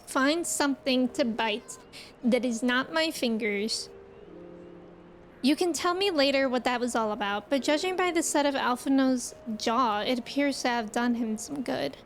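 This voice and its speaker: A woman live-streaming a game, chatting casually and reading aloud in a playful, expressive way. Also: high-pitched, a little slow, a little hiss or hum. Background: game music.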